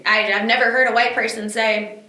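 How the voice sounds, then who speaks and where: A woman hosting a podcast, talking in an animated, emphatic way with quick asides. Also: fairly high pitch. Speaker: podcast host. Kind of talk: casual chat. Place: recorded on location, indoors. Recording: echoey room.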